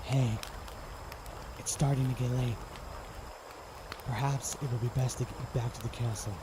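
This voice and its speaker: A man playing a young knight in a fanmade audiodrama, quiet and dutiful, speaking in a subdued, earnest, measured way. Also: medium pitch, fairly even intonation, background noise, natural voice. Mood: gentle, caring. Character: young male knight, quiet, burdened, dutiful, gently caring. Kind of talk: audiodrama character acting.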